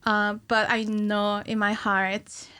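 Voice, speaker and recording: A young woman talks as an interview guest in a casual, chatty way. Her pitch is medium. The sound is close and dry, from a small studio. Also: flat delivery, takes it slowly, sharp tone, clean tone, dry recording. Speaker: podcast guest, cinematic animator. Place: small curtained podcast studio. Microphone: studio microphone on stand, close.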